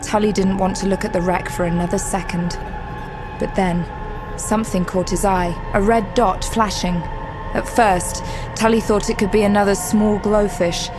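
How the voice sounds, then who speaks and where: A woman's voice: mid-range voice, fairly even intonation, normal speed. Woman narrator over dramatic music. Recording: music behind the voice.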